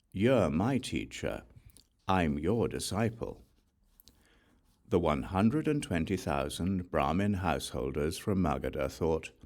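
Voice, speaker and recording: A man reading scripture as a scripted audiobook narration, with measured, deliberate storytelling delivery. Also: sits low, a little mellow.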